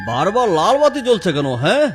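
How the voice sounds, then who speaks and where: Man playing stern cartoon security guard, animated. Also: high voice, brisk. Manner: grave, officious. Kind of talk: comic cartoon dialogue. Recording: music behind the voice.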